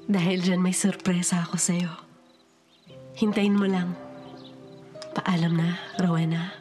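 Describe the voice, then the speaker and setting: A woman's voice: lowish voice, little pitch movement, takes it slowly, darkish tone. A murdered woman's ghost in a Filipino radio drama, haunting and out for justice. Dramatic delivery sits over prominent music. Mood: calm, grateful.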